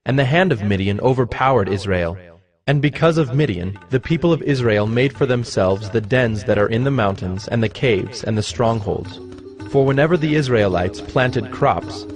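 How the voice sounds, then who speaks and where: A male narrator reads scripture in a medium-pitched voice. The delivery is scripted narration, with prominent music running beneath it. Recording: loud music under the voice.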